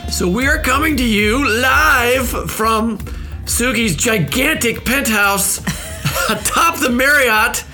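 A man's voice: very high-pitched, takes it slowly, pushed and bright, faint music behind. A man speaking casually as the host of a podcast.

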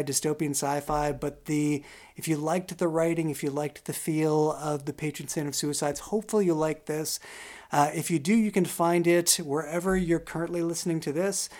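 A man giving a casual, conversational podcast introduction as the creator of a show. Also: fairly high pitch.

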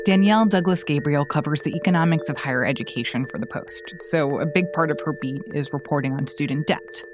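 A woman hosting a news podcast, introducing the show and interviewing a reporter in a clear, measured way. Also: low voice, music in the background. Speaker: podcast host.

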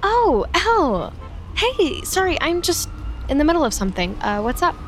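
An actress playing an intense, lonely young woman in an audio drama, dramatic and deliberate, with a dark, needy edge. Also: sits high, sing-song, slight background noise. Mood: eager, awkward. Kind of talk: audio drama acting with confiding inner monologue. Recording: music behind the voice.

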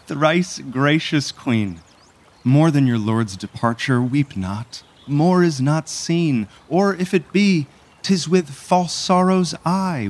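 A man playing a smooth royal courtier in a Shakespeare audio drama, consoling in ornate verse. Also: a little high, a little mellow.

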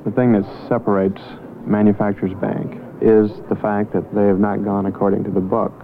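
A businessman giving a measured, formally worded testimonial for a radio advertisement. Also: lowish voice, little pitch movement. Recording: muffled, faintly noisy radio recording.

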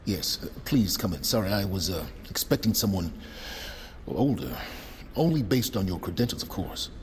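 An actor playing a stern, condescending senior agent in an audio drama, with a commanding, blunt manner. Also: male voice, sits low, animated, moderate pace, slight background noise. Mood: awkward, apologetic. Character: stern, condescending male senior federal agent, grave and intense. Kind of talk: cinematic audio drama acting.

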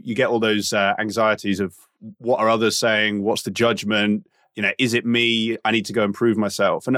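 A man's voice: lowish voice, a bit bright, no room sound, studio quality. A man hosting an interview, asking long, winding questions with fillers. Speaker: podcast host.

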